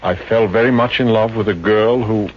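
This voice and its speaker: An actor playing a sensitive, self-pitying young psychiatrist in a 1950s radio comedy, hesitant and earnest. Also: male voice, on the low side, fairly even intonation, moderate pace, lightly acted. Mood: plaintive, self-pitying. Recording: muffled, hissy 1950s radio recording.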